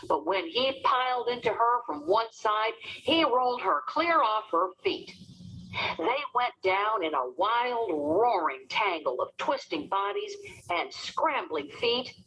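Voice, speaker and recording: A woman narrating a storybook as an expressive, dramatic read-aloud for children. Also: medium pitch, unhurried, husky, rough recording.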